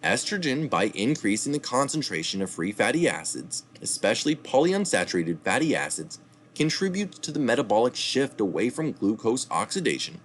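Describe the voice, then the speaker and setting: A man's voice: fairly low pitch, a little hiss or hum. A man reading a health article aloud, now and then correcting himself and adding short asides. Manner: reads steadily, with small slips and asides.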